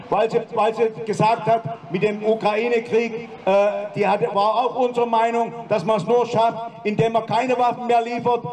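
Older male speaker around 70, from Dresden, very high flat voice, rambling, distant. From Dresden, eastern Germany. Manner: rambling, run-on sentences. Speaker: demo speaker at open mic. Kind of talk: indignant protest speech. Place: city street outside a broadcast building. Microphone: handheld mic, far camera.

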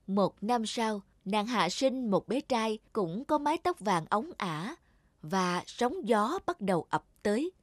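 A woman's voice: medium pitch, big pitch swings, slow pace. A woman reading a scripted fairy tale as the storyteller. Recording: music bed behind the voice.

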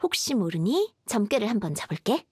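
A woman's voice: medium pitch, very animated, fairly quick, mellow, husky, dry recording. A woman voicing a proud, hot-tempered young girl astrologer in an anime game. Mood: matter-of-fact.

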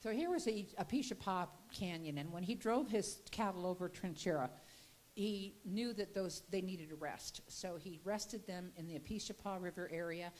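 Older lady telling old ranch stories at a slide talk, handheld mic, kinda low voice, folksy and rambly. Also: moderate pace, darkish tone. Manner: folksy, chatty storytelling with restarts. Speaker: local history speaker. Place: gallery room, indoors.

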